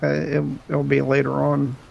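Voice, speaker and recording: A man's voice: on the high side, monotone, moderate pace, vocal fry, highs cut off. A man co-hosting a let's-play, laid-back, giving short replies and laughing often. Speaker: co-host. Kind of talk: casual gameplay chat.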